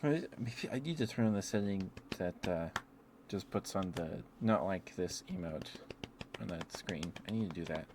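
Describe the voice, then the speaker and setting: A man hosting a casual ASMR livestream, chatting to viewers in a gentle, apologetic, rambling way. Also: deep voice, normal speed. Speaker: livestream host. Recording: clearly noisy background.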